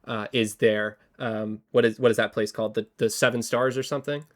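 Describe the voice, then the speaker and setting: A man co-hosting a podcast, slightly hoarse from a cold, talking casually with lots of fillers and restarts. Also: medium pitch, fairly even intonation, a little fast. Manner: rambling, many fillers and restarts. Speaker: podcast co-host. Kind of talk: casual history chat.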